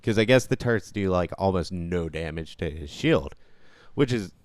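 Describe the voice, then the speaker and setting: Low-voiced man in casual gaming chat. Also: expressive, moderate pace, dry recording. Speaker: podcast co-host.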